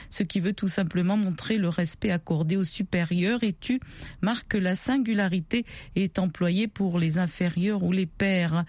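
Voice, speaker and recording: A woman's voice: mid-range voice, darkish tone, dry recording. A woman hosting a call-in radio show in French, reading listener comments and probing callers with follow-up questions. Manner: probing, rephrases her questions, laughs easily.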